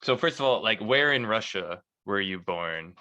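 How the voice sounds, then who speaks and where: A man's voice: on the low side, lively intonation, normal speed, pushed and bright, highs cut off. A man co-hosting a podcast, chatting casually and asking long, probing questions. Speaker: podcast co-host. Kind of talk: casual chat.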